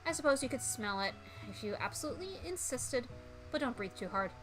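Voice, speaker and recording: A woman's voice: on the high side, lively intonation, a little fast, over music, close to an everyday voice, rough recording. A woman voicing a haughty, two-faced young female culinary student in a visual novel, prim and condescending.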